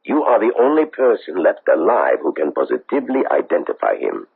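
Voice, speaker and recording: An actor playing a solemn, insistent government investigator from abroad in a 1970s radio drama, with formal, deliberate, pleading phrasing. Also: male voice, deep voice, wildly swooping pitch, vocal fry, slightly rough, heavily acted. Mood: grave, urgent. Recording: muffled tinny old radio broadcast.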